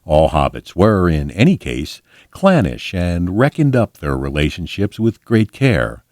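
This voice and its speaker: Male storybook narrator, low register, animated intonation, measured read-aloud style for fantasy prose. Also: no room sound, studio quality. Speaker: volunteer storybook reader. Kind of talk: scripted audiobook narration of a fantasy book.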